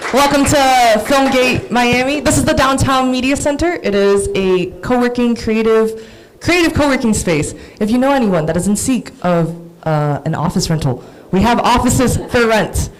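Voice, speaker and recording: A woman's voice: on the high side, moderate pace, rough recording. A woman hosting a live table reading, giving a chatty, upbeat welcome with some restarts. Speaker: event host. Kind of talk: casual welcome speech.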